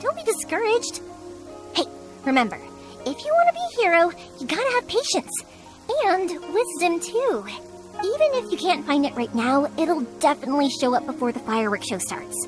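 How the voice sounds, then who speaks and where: A woman's voice: very high voice, very animated, normal speed. A woman voicing a cheerful, encouraging young fireworks maker with a big-sisterly manner in a video game. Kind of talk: video game character dialogue. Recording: music behind the voice.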